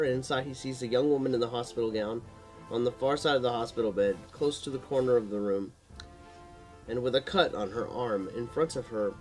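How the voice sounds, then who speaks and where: A man's voice: medium pitch, darkish tone, poor audio. A man narrating a supernatural horror story in a dramatic read-aloud style, sometimes stumbling over the text.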